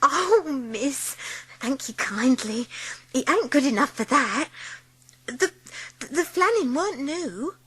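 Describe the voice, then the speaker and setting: A woman's voice: sits high, animated, slow pace, a bit bright, heavily acted. A woman playing a timid, eager young servant girl with a working-class English accent, deferential and earnest, in a dramatised audiobook. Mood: humble, grateful. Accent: English working-class, Cockney-style.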